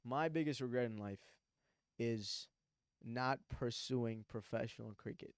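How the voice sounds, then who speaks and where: A man in his twenties from Pakistan, chatting on a podcast in an animated, emphatic way with slangy asides. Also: mid-range voice, unhurried, smooth, no echo, studio quality. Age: 20s. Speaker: podcast co-host. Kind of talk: casual chat.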